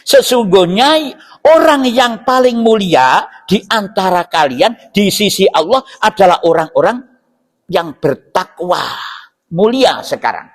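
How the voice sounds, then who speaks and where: A man's voice: high voice, animated, a little slow. A man with a Javanese accent giving an informal mosque sermon, explaining scripture in a didactic way with rhetorical questions and check-in tags. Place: inside a mosque. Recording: reverberant hall sound.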